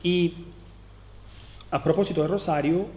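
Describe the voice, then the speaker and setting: Male lecturer, italian accent, slightly high voice, halting delivery with lots of fillers. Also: steady tone, dark tone, a little hiss or hum. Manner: hesitant, many fillers and restarts, tag questions. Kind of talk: academic conference talk.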